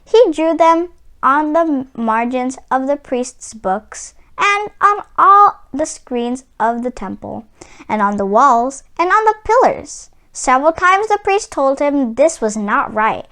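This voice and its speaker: A woman reads a storybook aloud for children in a very high voice. Her pitch moves widely and vividly from phrase to phrase. The delivery is expressive and deliberate, clearly that of a storyteller reading from a script.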